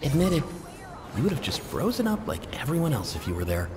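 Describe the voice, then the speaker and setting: Cheeky, animated mercenary. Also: male voice, a little high, fast talker, heavy background noise. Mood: goading. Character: cheeky easygoing male mercenary, friendly and joking. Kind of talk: video game voice acting. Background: music.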